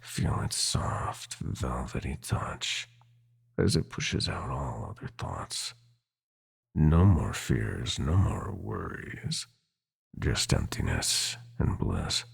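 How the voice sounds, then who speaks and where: Sinister prince of nightmares: extremely low, gravel-throated, taunting and possessive, with theatrical swoops, for villain ASMR roleplay. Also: male voice, big pitch swings, heavily acted. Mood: hypnotic, coaxing. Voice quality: gravelly, husky. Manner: theatrical, taunting, drawn-out menacing purr with whispered phrases.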